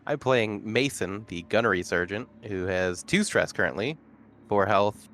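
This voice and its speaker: Sardonic low-voiced man player. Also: expressive, a little slow, fairly bright tone, a little hiss or hum. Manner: sardonic, joking, swears. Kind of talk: casual chat.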